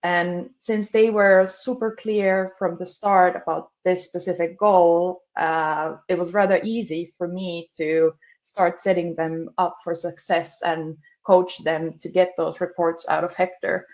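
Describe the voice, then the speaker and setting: A woman from Finland, a customer success specialist, giving a measured webinar presentation with frequent "uh" fillers. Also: fairly low pitch, steady tone, darkish tone, dull audio.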